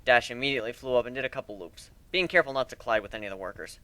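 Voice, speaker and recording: Bright-voiced man narrating a story. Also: mid-range voice, rapid-fire, no echo. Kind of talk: dramatic reading of fan fiction.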